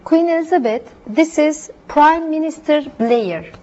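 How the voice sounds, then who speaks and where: A woman with a high voice works through short practice phrases in a classroom role play. She speaks carefully, one line at a time. The recording is dull and lo-fi. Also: a little slow, a little mellow. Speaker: language student.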